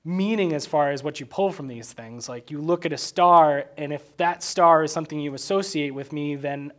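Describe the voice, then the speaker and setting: Guy w a slightly high voice, casual movie talk, keeps repeating words as he figures out what he means. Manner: halting, repeats words while working out thoughts. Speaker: podcast co-host discussing a film. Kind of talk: casual chat, informal film analysis.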